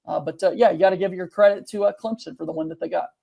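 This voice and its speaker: A man's voice: high-pitched, racing, gravelly, dark tone. A man giving casual game analysis as a panelist on a sports talk show.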